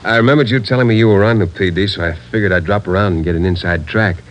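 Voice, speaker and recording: A man's voice: on the low side, rapid-fire, natural voice. An actor in his thirties playing a friendly married man who wants to join the police, in a 1950s radio drama. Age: 30s. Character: friendly 30-year-old married man wanting to be a cop. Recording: old radio recording with faint hiss.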